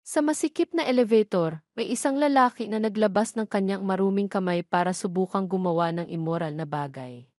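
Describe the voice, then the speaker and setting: A woman's voice: medium pitch, a little fast, a little mellow, no echo, studio quality. A woman reading scripted narration of a romantic story, as a storyteller.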